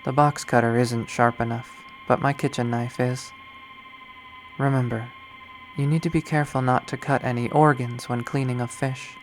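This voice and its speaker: A man's voice: mid-range voice, steady tone, moderate pace, faint music behind, close to an everyday voice, low-quality recording. A man voicing a brooding, obsessive young woman killer. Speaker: visual novel reader. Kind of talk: dramatic first-person readthrough.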